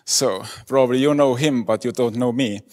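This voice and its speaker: Man giving a halting talk. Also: mid-range voice, darkish tone. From Estonia. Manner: hesitant, many fillers. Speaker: conference speaker. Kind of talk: lightning talk presentation. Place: conference hall. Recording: echoey conference hall.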